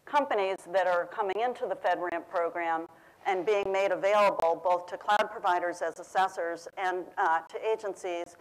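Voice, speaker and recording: A woman with a loud voice giving an official update as a panelist in a large conference room. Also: on the low side, normal speed, poor audio. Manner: says she has a loud voice. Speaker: government program official on a panel. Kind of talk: prepared panel remarks. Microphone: panel microphone.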